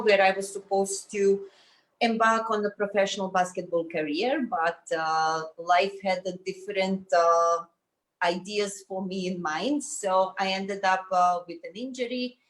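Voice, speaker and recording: A woman's voice: medium pitch, low-quality recording. Earnest woman telling her story. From Bosnia. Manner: earnest, measured, many fillers. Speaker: guest, fitness teacher. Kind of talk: reflective personal storytelling.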